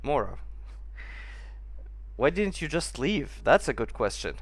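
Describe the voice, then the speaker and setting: A man's voice: medium pitch, big pitch swings, unhurried. A man giving casual gameplay commentary, reacting aloud and puzzling over the story as he plays. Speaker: streamer playing a story game.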